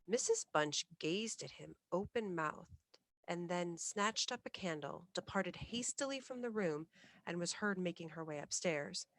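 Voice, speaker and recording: A woman's voice: fairly low pitch, lively intonation, a little slow, a bit bright, no echo. Woman narrating a ghost story, dry close sound. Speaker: storyteller, reader of ghost stories. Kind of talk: scripted narration, bedtime ghost story reading.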